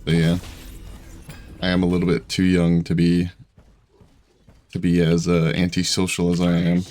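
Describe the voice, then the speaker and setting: A man in his twenties giving casual, chatty gameplay commentary from his room, self-deprecating and quick to laugh. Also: sits low, completely flat delivery, darkish tone, faint music behind. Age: 20s. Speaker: gaming streamer. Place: home room at a desk.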